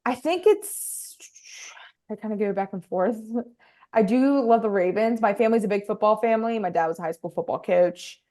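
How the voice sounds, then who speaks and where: A woman's voice: medium pitch, moderate pace, mellow. A young woman with a Baltimore accent, a guest chatting casually in an interview with many fillers and restarts. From Baltimore, Maryland.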